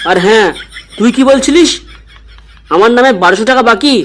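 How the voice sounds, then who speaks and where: A man's voice: very high-pitched, a little fast, fairly bright tone, slight background noise. A man voicing a grumpy, foul-mouthed older father in a comedy cartoon, scolding and indignant. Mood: combative. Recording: music behind.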